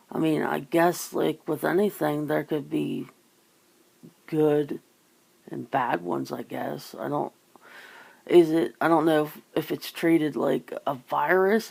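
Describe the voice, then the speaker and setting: Slow, very gravelly woman musing casually. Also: deep voice, a little mellow. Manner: musing, rambling, swears casually. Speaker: ASMR host. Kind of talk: casual chat, ASMR.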